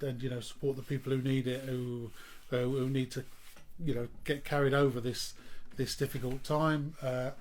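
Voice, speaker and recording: A man singing his own song live with an acoustic guitar, a little croaky from hay fever, then thanking listeners in rambling chat. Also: medium pitch. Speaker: singer-songwriter. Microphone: home livestream microphone.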